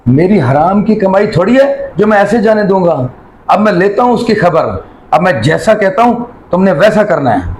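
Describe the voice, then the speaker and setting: Man playing a fairly high-voiced, firm kindly boss. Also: big pitch swings, a little mellow, a little hiss or hum, poor audio. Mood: suspicious, firm. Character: generous wealthy employer, trusting but firm. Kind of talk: amateur moral-story video skit acting.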